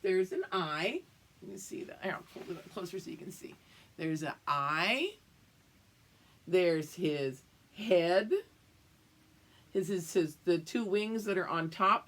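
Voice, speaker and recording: An older woman teaching young children, explaining things simply and checking that they follow along. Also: lowish voice. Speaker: kindergarten or preschool teacher. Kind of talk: read-aloud lesson for young children.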